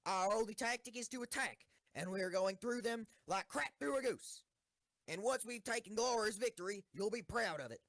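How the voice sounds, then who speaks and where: Woman playing a brash schoolgirl tank commander, animated delivery. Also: medium pitch, moderate pace, dry recording. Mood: bombastic. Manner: blunt, swaggering oratory. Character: brash, bombastic schoolgirl tank commander giving a war speech. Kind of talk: rousing military speech in a comic audio drama.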